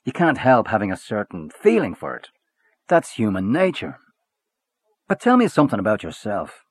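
Brief: hearty, swaggering worldly braggart, read by a man, husky, lively pitch. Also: moderate pace, dry recording, heavily acted. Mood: boastful. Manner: expansive, swaggering, back-slapping.

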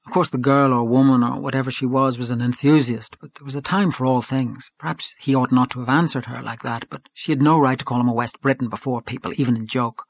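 Measured male audiobook narrator, dry close sound. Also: mid-range voice, steady tone, gravelly, no echo. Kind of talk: audiobook reading of literary fiction.

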